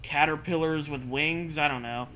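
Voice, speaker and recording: A man's voice: on the high side, normal speed, a loud crowd, rough recording. A man reading a story aloud and breaking in with sarcastic, sweary casual commentary. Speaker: host reading and mocking fan fiction.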